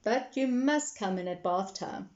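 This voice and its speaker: A woman's voice: mid-range voice, expressive, measured pace, darkish tone, dull audio, natural voice. A mild father pig from a storybook, read by a woman. He sounds a little tired and coaxes like a patient parent, in an everyday mid-range voice. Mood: coaxing.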